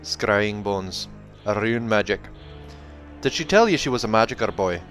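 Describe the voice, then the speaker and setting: Man voicing a gruff, suspicious dwarf. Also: lowish voice, lively intonation, measured pace, fairly bright tone, faint music behind. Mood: grave, suspicious.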